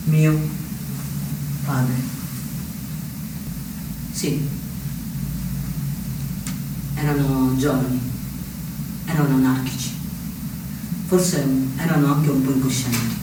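A man acting a young anarchist recounting his fate in a theatrical stage monologue, with deliberate phrases and dramatic pauses. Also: mid-range voice, steady tone, close to an everyday voice. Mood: grave, mournful. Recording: very echoey, distant stage recording with noise.

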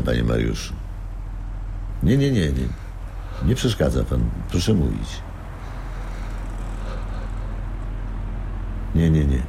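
An actor playing a grim, weary man in a radio drama, speaking haltingly and repeating his words. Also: rumbling low, creaky, mellow, a little hiss or hum, full character voice. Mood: polite, subdued. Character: grim, weary man confessing a revenge plan.

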